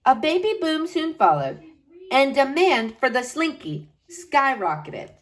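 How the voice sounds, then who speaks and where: A woman reading a picture book aloud to children, with slow dramatic emphasis. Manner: expressive, slow dramatic emphasis with wide pitch swings.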